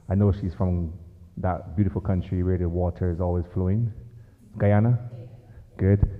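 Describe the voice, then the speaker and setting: Deep-voiced Barbadian man in echoing hall. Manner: chatty, asks the audience questions. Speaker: guest health speaker, doctor. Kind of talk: informal health talk to a congregation. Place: church hall. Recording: lo-fi phone-like sound in a reverberant church hall.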